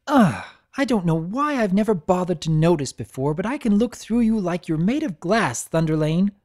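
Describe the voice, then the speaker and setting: A man's voice: high-pitched, big pitch swings, normal speed, darkish tone, pitched above the performer's own voice. A man voicing a haughty, scornful pegasus mare in a story reading. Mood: indignant. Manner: huffy, scornful.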